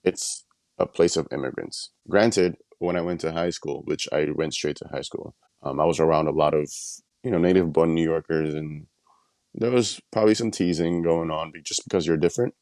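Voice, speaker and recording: Very deep-voiced man, dry close sound. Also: moderate pace, a little mellow, no room sound. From Nigeria. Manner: reflective, with fillers like "you know" and "um". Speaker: guest artist. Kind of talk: relaxed interview answers.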